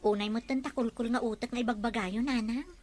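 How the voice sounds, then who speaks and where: A woman acting a forbearing, soft-hearted young wife in a drama. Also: fairly high pitch, steady tone, fast, no echo, soft background music, close to an everyday voice. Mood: subdued, patient. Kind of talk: Ilocano-language drama dialogue.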